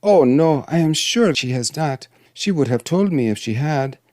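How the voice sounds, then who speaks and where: Vain young fairy tale princess, acted by a male reader: high, plaintive, proud delivery, dry close sound. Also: animated, normal speed, darkish tone, no room sound, close to an everyday voice. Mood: indignant. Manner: plaintive, haughty. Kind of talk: fairy tale storybook reading.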